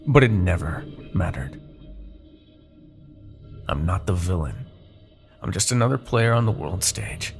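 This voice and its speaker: A man playing a haughty, seductive demon king in a fantasy audio roleplay, persuading with silky condescension, dramatic pauses and a gravelly voice. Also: heavily acted. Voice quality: gravelly, creaky low voice. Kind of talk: fantasy ASMR roleplay monologue.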